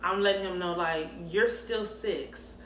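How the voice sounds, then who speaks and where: A woman in her thirties co-hosting a candid casual chat, talking in an emphatic, conversational way. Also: fairly low pitch. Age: 30s. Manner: emphatic, conversational, quick back-and-forth. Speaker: co-host. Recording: echoey room with faint ambient noise.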